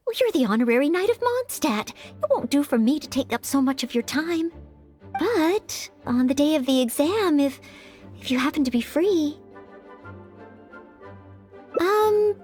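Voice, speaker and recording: A young maid with knightly dreams from an anime video game. Her voice is strikingly high, sweet and girlish. She speaks with earnest politeness and an anxious, hesitant edge, over light music. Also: heavily acted.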